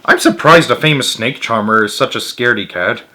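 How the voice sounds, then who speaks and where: A man's voice: mid-range voice, expressive, brisk, bright, forceful tone. A man voicing a bossy, matter-of-fact female animal friend from a storybook, emphatic and chiding.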